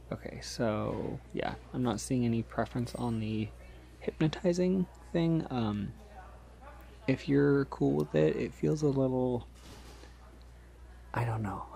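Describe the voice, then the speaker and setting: Man playing a gravelly-voiced, shy, polite vampire. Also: medium pitch. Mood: hesitant, polite. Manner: hesitant, halting, gentle, reassuring, with small nervous chuckles. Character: polite, shy, considerate male vampire on a first feeding date. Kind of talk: ASMR roleplay, conversational.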